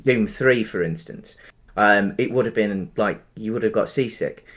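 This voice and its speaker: A man's voice: on the low side, normal speed, fairly bright tone. A man in his thirties co-hosting a casual film chat over a headset on a video call from home, rambling with many restarts and fillers. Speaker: podcast co-host.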